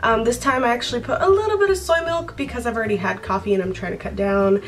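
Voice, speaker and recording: Young brazil-born woman, portuguese first language, vlogging at home, talks with her hands, soft music behind. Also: on the high side, fast talker. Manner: rambling, chatty, laughs often, talks with her hands. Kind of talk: casual chat to camera. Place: bedroom by a window, indoors.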